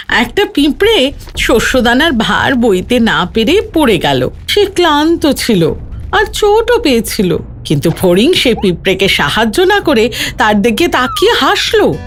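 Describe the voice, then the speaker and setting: A woman reading a scripted children's story as narrator, with an expressive storytelling delivery. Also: a little high, unhurried, a little hiss or hum.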